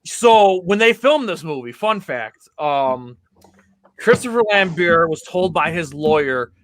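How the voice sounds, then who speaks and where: Man, podcast co-host, rambling enthusiastic storyteller, bright tone, fairly high voice. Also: sing-song, a little slow, rough recording. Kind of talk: casual podcast chat.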